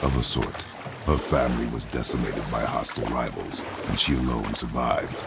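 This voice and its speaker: Very deep, gravelly stern warrior father. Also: moderate pace. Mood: solemn. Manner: terse, formal, commanding. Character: stern, gruff warrior father, grieving but guarded. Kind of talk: video game cinematic acting. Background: music.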